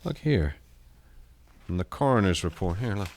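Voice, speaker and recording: An actor playing a shrewd, dogged police lieutenant in a 1970s radio mystery, firm and probing. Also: male voice, low voice, expressive, moderate pace, a little mellow. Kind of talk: 1970s radio drama acting.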